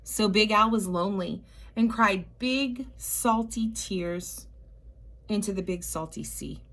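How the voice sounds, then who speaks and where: Slow-paced woman reading a children's storybook. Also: medium pitch. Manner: expressive, drawn-out emphasis with chatty asides to young listeners. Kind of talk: storybook read-aloud for young children.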